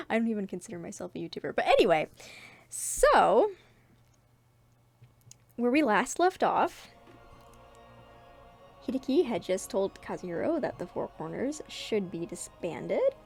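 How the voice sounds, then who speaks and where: A woman's voice: medium pitch, wildly swooping pitch, unhurried, vocal fry, pauses often, breathy edge, soft background music. A woman casually reading her own comic aloud, with theatrical, self-deprecating asides and a giggly way of talking. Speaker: webcomic creator narrating own comic.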